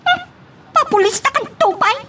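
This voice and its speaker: High-pitched, feisty young Ilocano woman acted. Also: animated, normal speed, sharp tone, exaggerated voice. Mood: indignant, defiant. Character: feisty young woman, defiant daughter and sweetheart. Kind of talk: Ilocano romance radio drama acting.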